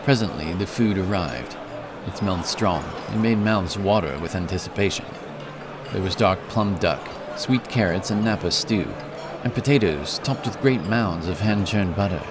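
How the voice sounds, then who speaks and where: Very deep male fantasy narrator. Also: moderate pace, noisy background. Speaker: audiobook narrator. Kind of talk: scripted fantasy story narration. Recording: music behind the voice. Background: music.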